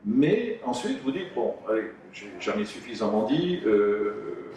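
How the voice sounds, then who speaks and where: Halting, meandering male interviewer, echoey. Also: fairly low pitch, big pitch swings, mellow. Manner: meandering, halting questions with many restarts. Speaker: interviewer host. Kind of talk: radio interview questions. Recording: echoey room, distant mic, faint noise.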